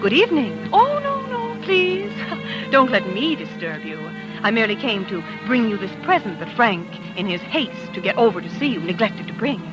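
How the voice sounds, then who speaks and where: High voiced jealous vain wife, old 1940s radio drama w music, very theatrical n self-pitying. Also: female voice, sing-song, moderate pace, rough recording. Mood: mock gracious. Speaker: lead actress and first-person narrator.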